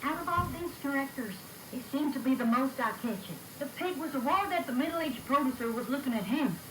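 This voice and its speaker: Woman as Applejack, high-voiced storytelling pony, echoey tape. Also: lively intonation, brisk. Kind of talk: scripted fan-fiction narration. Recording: echoey, noisy reel-to-reel tape.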